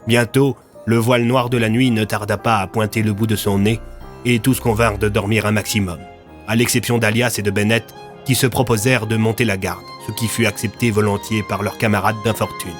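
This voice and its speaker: A man's voice: on the low side, steady tone, a little fast. Male storyteller over prominent music. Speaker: narrator of an audio fiction series. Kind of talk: scripted dramatic narration.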